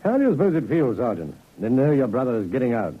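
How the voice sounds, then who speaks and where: Wry, composed British inspector, vintage radio crime drama; slightly husky, on a dull old recording. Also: male voice, mid-range voice, very animated, quick pace. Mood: musing.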